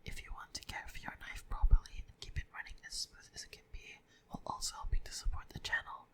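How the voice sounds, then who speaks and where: A woman whispering a scripted ASMR sponsor read in a soft, breathy, deliberate way. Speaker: video host reading a sponsor message.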